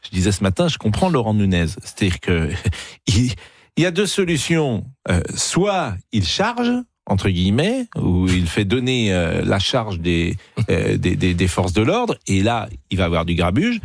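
A man's voice: lowish voice, wildly swooping pitch, measured pace, vocal fry, no echo, studio quality. A man hosting a live radio talk show, chatting casually and stumbling over repeated words. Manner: hesitant, repeats words, fillers. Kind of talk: casual on-air chat.

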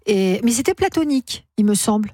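A woman's voice: medium pitch, big pitch swings, fairly quick, dark tone, no echo, clean studio sound. A woman hosting an evening call-in radio show, chatty and prompting her caller. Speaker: radio host. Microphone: studio microphone.

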